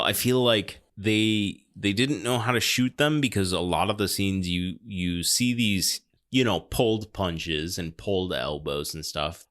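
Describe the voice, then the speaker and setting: A man's voice: low voice, a bit bright. A man hosting a podcast, reviewing films in a casual, sardonic and critical way. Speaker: podcast host. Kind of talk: casual film review chat.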